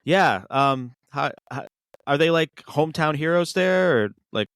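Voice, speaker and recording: A relaxed man around fifty, who talks in an easy, halting way full of "uh" and "like" and drops casual curses. His voice sits dry and close, with no room sound. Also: fairly high pitch, clear, resonant tone, dry recording. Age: 50s. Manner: laid-back, swears freely, hesitant fillers. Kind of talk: casual chat.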